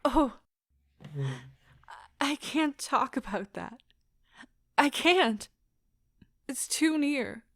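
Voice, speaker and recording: An actress plays a wounded woman in a romantic audio drama, pleading with theatrical intensity. Her voice is high and she takes her words slowly, weighing each one with impassioned feeling. The sound is close and dry. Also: animated, fairly bright tone, no room sound, exaggerated voice. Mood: hurt. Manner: theatrical, impassioned, deliberate delivery. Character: anguished, pleading woman leaving a broken love affair. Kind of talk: stage-style audio drama acting.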